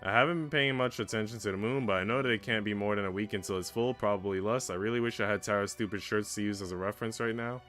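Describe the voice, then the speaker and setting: A man's voice: on the low side, fast talker, few pauses, fairly bright tone, faint music behind. A man streaming a visual novel, reading its narration aloud and adding casual joking asides.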